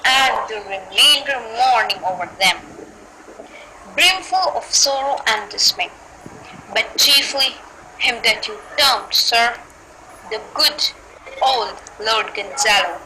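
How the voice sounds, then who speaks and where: A woman's voice: fairly high pitch, lively intonation, slow, bright, forceful tone, full character voice. A young woman playing a dutiful, compassionate airy spirit in an amateur Shakespeare recitation. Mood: sorrowful, earnest. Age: teenager. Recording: faintly noisy home recording.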